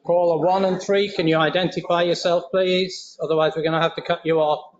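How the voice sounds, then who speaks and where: A man chairing a homeowners board meeting, running through the agenda in a brisk, procedural way. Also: a little high, little pitch movement, crowd noise. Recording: dull meeting room audio, faint noise.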